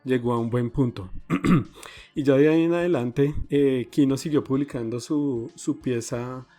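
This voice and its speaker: A man hosts a podcast in a warm, dark-toned voice, telling the story in a loose, halting way. Faint music plays quietly underneath. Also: medium pitch. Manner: conversational, halting with fillers. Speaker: podcast host. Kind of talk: casual podcast narration.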